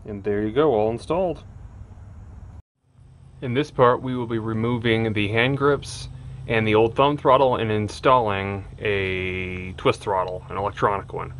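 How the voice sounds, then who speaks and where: A man giving a casual how-to narration of his DIY work, plain-spoken and relaxed, with plenty of "uh" fillers. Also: lowish voice, unhurried, a little hiss or hum. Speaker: DIY mechanic vlogger.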